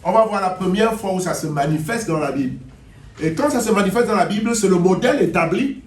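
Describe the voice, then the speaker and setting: French-speaking preacher, high voice, echoey hall. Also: male voice, fairly quick. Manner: animated, repeats phrases, mock gibberish for effect. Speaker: interpreter and preacher. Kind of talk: sermon with call and response. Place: church hall.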